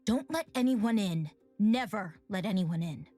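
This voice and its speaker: A woman's voice: mid-range voice, animated, a little slow, warm tone, no room sound, faint music behind, close to an everyday voice. A woman voicing a bitter, defiant teenage girl warrior in a video game, blunt and sardonic. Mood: hard, guarded.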